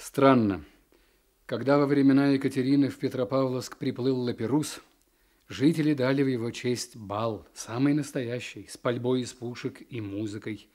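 An actor playing an earnest, inquisitive official in a radio drama, speaking in a measured, educated way. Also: male voice, medium pitch, steady tone, a little mellow, natural voice. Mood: puzzled. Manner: measured, educated, probing questions. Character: earnest, inquisitive male official, new district chief. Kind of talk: Soviet radio drama acting.

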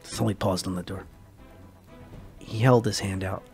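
A man narrating a science fiction short story as a dramatic reading, measured and expressive. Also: deep voice, slightly rough, soft background music.

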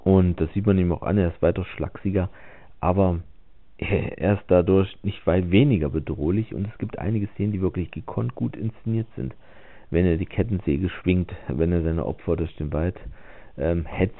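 Deep-voiced German man, tinny dry recording. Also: normal speed, no room sound. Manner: casual, many hesitations and fillers. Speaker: film reviewer. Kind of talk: spoken film review voice-over. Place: voice-over over film clips.

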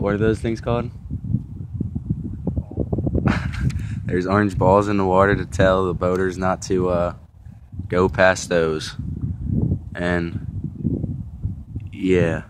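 A young man casually narrating a tour of a river dam outdoors, filming on a phone, halting with many fillers and restarts. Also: low-pitched, background noise. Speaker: vlogger filming a local landmark. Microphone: phone camera held while walking.

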